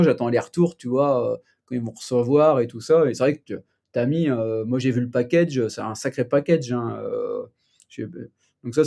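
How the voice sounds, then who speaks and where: A man's voice: medium pitch, gravelly, warm tone. A man hosting a casual live chat, rambling loosely with lots of fillers. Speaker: livestream host.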